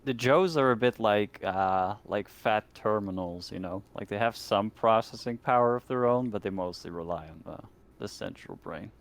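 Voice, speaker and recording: A man's voice: fairly low pitch, normal speed. A man talking casually during a tabletop roleplaying game. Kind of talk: casual chat.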